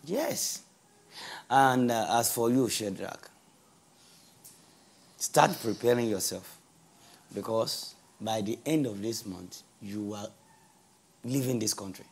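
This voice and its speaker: A middle-aged man playing a calm, authoritative father who speaks in a measured, reassuring way. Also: lowish voice, vocal fry, husky, full character voice. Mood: firm. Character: calm authoritative father settling son's fate.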